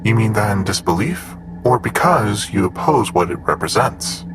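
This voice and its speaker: An actor playing a formal, precise, unflappable android assistant in an audio drama, reporting in a measured manner. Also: male voice, lowish voice, animated, music in the background, natural voice. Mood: composed. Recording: clear background noise with typing.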